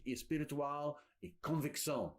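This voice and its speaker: A man's voice: fairly high pitch, steady tone, normal speed. A man interpreting a sermon, hesitant and searching for words.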